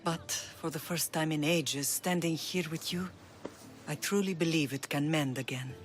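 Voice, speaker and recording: A dignified middle-aged Spartan mother voiced by a woman, low in voice. She speaks with resolve and tenderness, like a proud matriarch in a dramatic game cutscene, with music underneath. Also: moderate pace, close to an everyday voice. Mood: tender, wistful.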